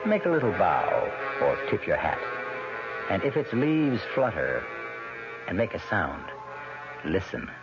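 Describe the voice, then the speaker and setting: Deep male radio host narrating theatrically, swoopy delivery, music behind, old broadcast. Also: big pitch swings, unhurried, long pauses, muffled recording.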